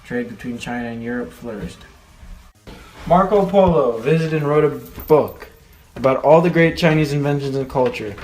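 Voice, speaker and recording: A man's voice: mid-range voice, moderate pace, a little mellow, low-quality recording. A man presenting a newsreel with scripted narration, speaking as a news presenter.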